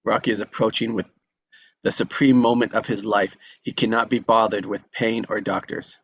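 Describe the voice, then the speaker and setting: Male action narrator, lowish pitch, scripted read of screen directions. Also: steady tone, moderate pace, breathy edge.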